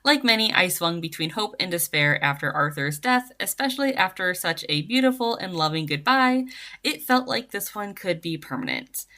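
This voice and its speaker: A woman's voice: on the low side, sing-song, normal speed, pushed and bright. A woman giving earnest, articulate prepared commentary as a listener's voice message. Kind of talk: prepared, scripted-sounding commentary.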